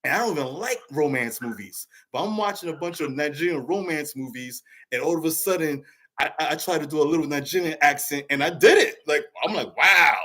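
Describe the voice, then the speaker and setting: Male host and stand-up comic, slightly high voice, animated casual chat. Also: a little fast. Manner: animated and chatty, laughs easily.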